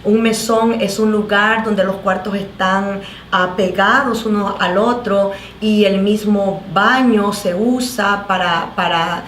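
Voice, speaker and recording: A woman pastor from El Salvador with a Salvadoran Spanish accent, giving her testimony on a talk show in an earnest, halting way with many fillers and restarts. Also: medium pitch, steady tone, fairly bright tone, reverberant, a little hiss or hum. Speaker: pastor, guest.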